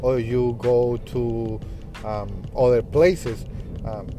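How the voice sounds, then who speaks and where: A man's voice: mid-range voice, fairly even intonation, slow, over music. A man in his thirties from Peru with a thick Peruvian Spanish accent, whose first language is Spanish, hosting a casual podcast and hesitating often, with many fillers and restarts. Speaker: podcast host, restaurant manager. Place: in a car while driving.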